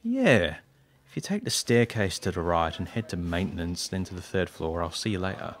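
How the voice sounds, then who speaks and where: A jittery junior government aide, unsure of himself and forever hedging, in a radio comedy. A man plays him with a very low, deep voice. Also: animated, normal speed. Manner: hesitant, self-doubting.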